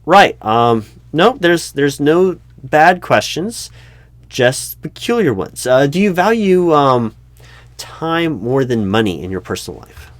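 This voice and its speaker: Flustered, expressive male recruiting manager, acted by a man. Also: a little high. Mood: flustered, patient. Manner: halting, stammering, puzzled. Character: unobservant, flustered but patient male corporate recruiting manager. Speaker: job interviewer. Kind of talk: one-sided ASMR roleplay, spoken aloud. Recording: faint noise, computer keyboard typing clicks. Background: keyboard typing and clicks.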